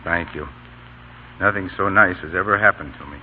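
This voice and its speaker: Man playing a low-voiced, courtly colonel. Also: normal speed, close to an everyday voice. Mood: affectionate. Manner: soft, affectionate, gently teasing. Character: warm, courtly male army colonel. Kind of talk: old-time American radio drama acting. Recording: tinny old radio broadcast, faint noise.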